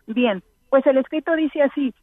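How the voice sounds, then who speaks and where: A woman's voice: high-pitched, normal speed, no echo. Polite woman caller on a phone line. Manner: polite, formal greetings. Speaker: radio caller.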